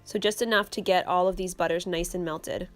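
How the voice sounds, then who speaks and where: A woman's voice: fairly low pitch, brisk. Young woman, vlog host, casual how-to, home kitchen, faint background music. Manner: relaxed, explaining step by step with fillers. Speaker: channel host.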